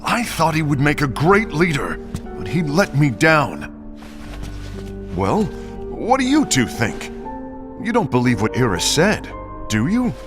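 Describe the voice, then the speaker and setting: An actor playing a disillusioned, distrustful young warrior disciple in a video game cutscene. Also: male voice, fairly high pitch, wildly swooping pitch, measured pace, long pauses. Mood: disappointed, goading. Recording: music behind the voice.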